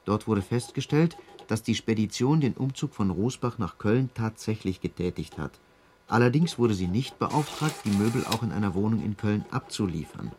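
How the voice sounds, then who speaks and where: An actor playing a dutiful police assistant in a radio drama, brisk, formal and matter-of-fact. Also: male voice, fairly low pitch, lively intonation, dark tone. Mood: official, dry. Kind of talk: German radio drama acting, reading official reports.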